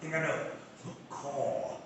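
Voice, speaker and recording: A man jokes around in relaxed, casual banter. He is picked up from a distance in a very echoey room, with long reverb and steady background noise. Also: a little high, takes it slowly. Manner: laid-back, joking banter. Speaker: podcast co-host. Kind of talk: casual chat.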